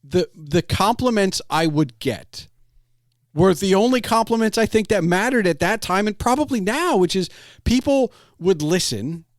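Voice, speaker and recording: Man, fairly high voice, dry recording, podcast host, casual chat, halting, restarts phrases. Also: expressive, dry recording. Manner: halting, repeats phrase openings while thinking aloud. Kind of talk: casual conversational interview.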